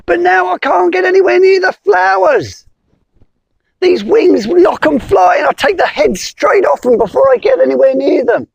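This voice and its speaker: A man voicing a frantic bumblebee with oversized wings, shouting excitedly in a storybook tale. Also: extremely high pitch, moderate pace, vocal fry, no room sound, lo-fi sound, voice pushed up, full character voice. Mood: distressed.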